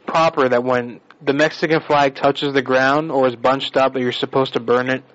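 A man's voice: medium pitch, flat delivery, normal speed, fairly bright tone, no echo. Badgering prank caller, man, dull lo-fi sound. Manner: badgering, accusatory, bossy. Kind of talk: prank phone call.

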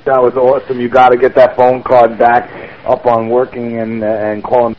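A man's voice: medium pitch, completely flat delivery, moderate pace. A man calling into a radio show, talking with enthusiasm and giving short answers. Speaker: caller. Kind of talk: phone call on air. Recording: muffled lo-fi audio.

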